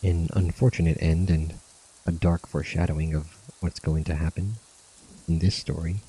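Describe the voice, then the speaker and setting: A man's voice: low-pitched, flat delivery, moderate pace, warm tone, breathy edge, rough recording. A man giving casual commentary on a game story as a video creator who reads cutscenes aloud. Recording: music under the voice. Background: music.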